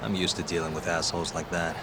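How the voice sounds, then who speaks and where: A man's voice: sits low, flat delivery, fast. A man playing a cool, wry detective in his thirties in a video game, with dry, understated, casual delivery. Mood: pensive. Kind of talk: naturalistic video game dialogue. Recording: clear city street noise behind.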